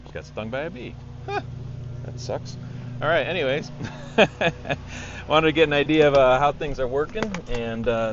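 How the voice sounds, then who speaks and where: Low-pitched man, rambling vlog, noisy background. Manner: rambling, halting, thinking aloud. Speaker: amateur radio operator making a vlog. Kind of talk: casual unscripted video walkthrough. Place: in and around a parked truck. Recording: steady background noise of car and outdoors.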